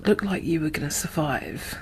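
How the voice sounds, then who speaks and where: A woman speaking softly and often whispering in an ASMR roleplay, gentle and halting, as a guarded but caring apocalypse survivor. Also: deep voice, lively intonation, a little hiss or hum, low-quality recording. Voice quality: soft, breathy, often whispered. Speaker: ASMR performer playing a role. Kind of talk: soft-spoken ASMR roleplay.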